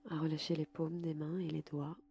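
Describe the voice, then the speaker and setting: A woman guiding a relaxation session slowly and calmly, in a soft, breathy voice that often nears a whisper. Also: low-pitched. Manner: calm, soothing, unhurried. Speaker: podcast host and yoga nidra guide. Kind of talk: slow, soft guided relaxation.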